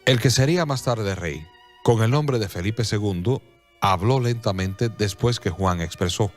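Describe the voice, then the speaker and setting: Low-pitched male radio drama narrator. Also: soft background music. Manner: measured, solemn storytelling.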